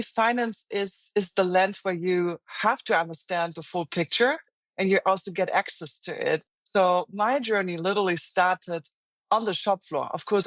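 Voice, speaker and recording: A woman's voice: mid-range voice, no echo. A middle-aged woman with a German accent, speaking as an interview guest in an earnest, reflective way with frequent restarts. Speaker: guest, finance executive. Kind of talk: interview conversation.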